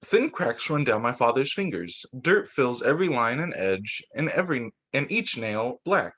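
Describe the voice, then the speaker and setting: A man reading storybooks aloud as a teacher for young children, in an expressive way with the occasional stumble and re-read phrase. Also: mid-range voice, moderate pace.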